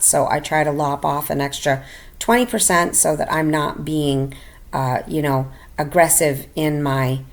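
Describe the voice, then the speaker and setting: A middle-aged woman narrating a personal finance video as a voiceover, slightly raspy from illness, halting with many ums and restarts. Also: sits low. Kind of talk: casual voiceover narration over a screen recording. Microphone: home voiceover mic.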